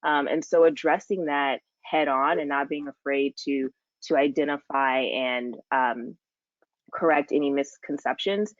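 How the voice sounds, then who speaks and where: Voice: mid-range voice, dry recording. Panelist surgeon, earnest, structured points, dry dull call sound. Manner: earnest, advising in numbered points. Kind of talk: panel discussion.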